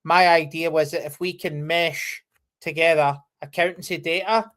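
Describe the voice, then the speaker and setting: A man's voice: on the high side, steady tone, moderate pace, a bit bright. A man in his forties from near Glasgow, with an anglicised Scottish accent, talking casually as an interview guest, with lots of ums and easy laughs. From Scotland, near Glasgow. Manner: chatty, frequent ums, laughs easily. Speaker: guest, company founder.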